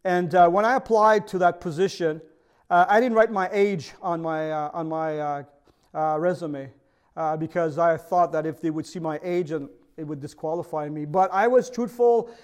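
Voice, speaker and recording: High-voiced French-accented man preaching. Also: moderate pace, smooth. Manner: earnest, preaches with repeated rhetorical questions to the congregation. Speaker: pastor. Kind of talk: sermon.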